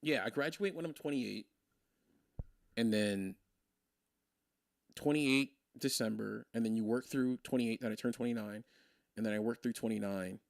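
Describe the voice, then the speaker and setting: A man in his twenties from Maryland, chatting casually on a stream, rambling and thinking out loud with frequent laughs. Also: medium pitch, normal speed, gravelly, pauses often, no room sound, clean studio sound. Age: 20s. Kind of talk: casual chat on a game stream.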